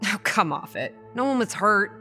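An actress playing a proud, combative young woman who narrates her own horror audio drama in a stern, measured way. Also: medium pitch, lively intonation, pushed and bright, faint music behind. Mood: defensive. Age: young adult. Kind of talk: first-person dramatic narration with dialogue.

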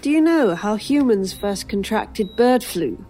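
A haunted female patient, played by an actress in a radio horror play, very slow in her speech, with music underneath. She sounds troubled and bitter, her delivery halting, at an ordinary pitch for a woman. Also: lively intonation, mellow, natural voice. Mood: bleak, haunted. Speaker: voice actor in an audio drama. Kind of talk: old time radio horror drama acting.

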